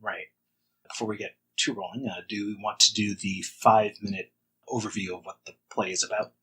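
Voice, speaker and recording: A man hosting a casual podcast interview in a measured, understated, slightly hesitant way. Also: on the low side, fairly even intonation, sharp tone, husky, rough recording. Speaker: podcast host.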